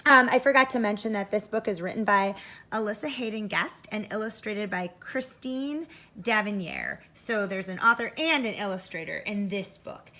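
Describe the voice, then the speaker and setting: A woman's voice: a little high, bright, forceful tone. A woman teaching first grade, giving an interactive read-aloud for children, thinking aloud expressively and addressing young listeners directly.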